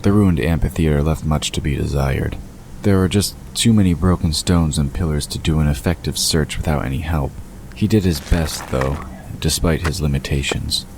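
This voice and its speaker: A man's voice: rumbling low, normal speed. A man reading scripted story narration as the narrator of an audio drama. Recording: constant ambience noise behind narration.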